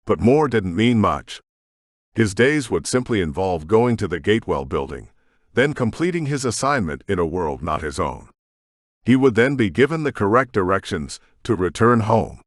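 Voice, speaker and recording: Male narrator: low, lively pitch, measured, close dry mic. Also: no echo. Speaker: audiobook narrator. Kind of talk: scripted narration.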